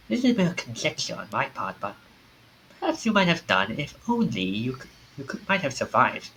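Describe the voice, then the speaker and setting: A man's voice: medium pitch, pushed and bright, slight background noise, poor audio. A man voicing a mysterious, smugly courteous storyteller in a visual novel, formal and mocking. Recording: game music behind the voice.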